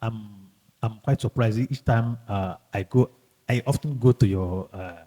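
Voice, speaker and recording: A man hosting a podcast in casual, halting and emphatic speech, often repeating himself. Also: fairly low pitch, mellow. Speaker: podcast host. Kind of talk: casual podcast introduction and interview chat.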